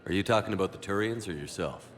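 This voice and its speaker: Man playing a low-voiced, resolute military commander. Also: quick pace, big-room sound, lightly acted. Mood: steady. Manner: firm, earnest, rallying. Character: resolute military commander, steadfast male leader in space war. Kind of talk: video game voice acting.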